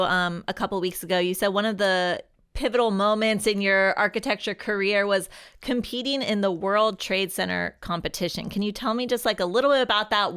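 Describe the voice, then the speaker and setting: A woman with a mid-range voice co-hosts a podcast. She speaks in a relaxed, chatty way and asks her guest casual questions. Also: medium pitch, clean tone. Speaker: podcast co-host. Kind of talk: casual interview conversation.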